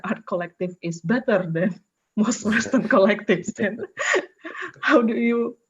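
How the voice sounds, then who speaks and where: Hesitant Indonesian woman, dull lo-fi audio. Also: fairly low pitch. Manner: hesitant, repeats phrase starts. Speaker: host asking questions. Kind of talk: conversational interview. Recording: dull lo-fi online call audio.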